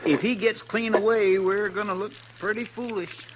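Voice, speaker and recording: A folksy, loyal western sidekick, acted by a man with a rural American drawl and a rather high voice. He grumbles and frets while deferring to his boss. The old radio drama recording is noisy. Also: steady tone, normal speed, natural voice. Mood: worried. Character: folksy, fretful, loyal deputy to a marshal. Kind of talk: 1950s radio western acting.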